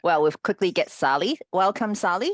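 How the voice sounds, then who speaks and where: Low-voiced woman coach on a video call. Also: lively intonation, no room sound, clean studio sound. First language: Cantonese. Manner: brisk and encouraging, quick to praise. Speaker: group coach and call host.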